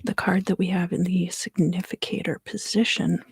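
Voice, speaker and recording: A woman reads tarot in an ASMR style, slipping between soft speech and whisper. Her voice is lowish and breathy with a gravelly fry. She speaks in measured phrases with deliberate pauses. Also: little pitch movement, dry recording, clean studio sound. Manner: hushed, drifting in and out of whisper. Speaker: tarot reader and ASMR host.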